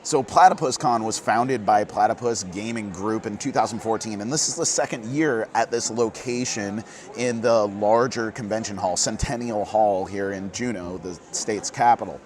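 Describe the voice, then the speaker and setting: A hoarse man hosting a casual board game news roundup, earnest and quick, getting fired up at times. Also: on the low side, a little mellow. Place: busy convention hall.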